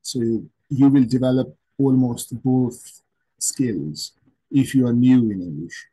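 A middle-aged man from Pakistan with a Pakistani accent, a native Urdu speaker, gives an online English lesson from a home room, explaining slowly and repeating himself. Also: medium pitch, animated, mellow, breathy edge, rough recording. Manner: slow, repetitive explaining with many pauses. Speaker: English teacher. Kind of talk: online lecture to camera. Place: home room with plants and shelves.

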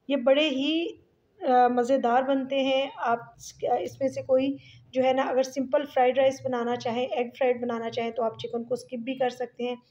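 Higher-voiced Pakistani woman narrating cooking. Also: dark tone, highs cut off. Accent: Pakistani Urdu. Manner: chatty, explaining step by step with fillers. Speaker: cooking channel host. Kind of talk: home cooking tutorial voice-over.

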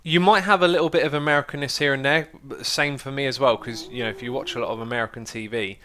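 A man's voice: mid-range voice, fairly even intonation, quick pace, bright, forceful tone. A man with a British accent, chatting casually as a livestreamer in a friendly, rambling, anecdotal way.

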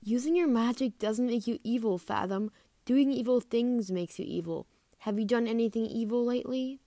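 A woman's voice: fairly high pitch, normal speed, dark tone, no echo, voice pushed up, natural voice. A blunt, exasperated young female dragon, acted by a woman, scolds with emphasis. The recording is dry, in amateur cartoon audition style. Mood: exasperated, reassuring.

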